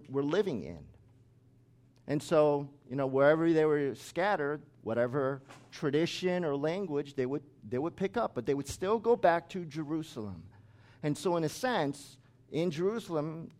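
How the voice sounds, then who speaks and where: A man's voice: fairly high pitch, a little mellow. A man who grew up in the United States, preaching a sermon as pastor in a conversational way with chatty asides.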